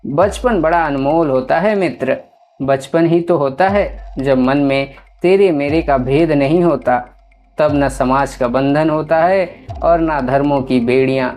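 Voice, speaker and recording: A woman's voice: low voice, unhurried, a little mellow, soft background music. A woman voicing a talking deer, a playful, sentimental and dramatic friend, in a storybook tale.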